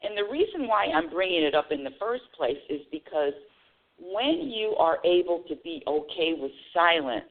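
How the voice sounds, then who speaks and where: A woman's voice: fairly low pitch, very animated, normal speed. A woman hosting a spiritual call-in radio show, talking earnestly and often restarting her phrases. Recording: tinny phone-line audio.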